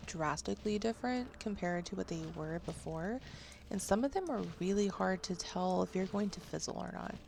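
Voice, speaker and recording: A woman's voice: mid-range voice, moderate pace, vocal fry, darkish tone, slight background noise. A woman giving casual game walkthrough commentary as a gaming video creator. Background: game music.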